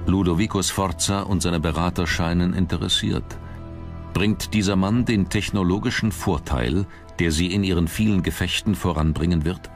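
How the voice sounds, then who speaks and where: A man narrating a documentary from a script in a measured, dramatic storytelling manner. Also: low voice. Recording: music under the narration. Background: background music.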